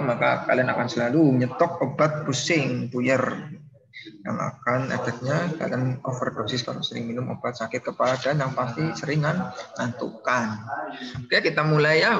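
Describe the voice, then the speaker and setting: A man's voice: mid-range voice, fairly even intonation, fairly quick, creaky, muffled recording, a crowd in the background. A man giving an online math lecture, teasing his students and joking in mock regional accents. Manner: playful, teasing, jokes with students. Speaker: university lecturer.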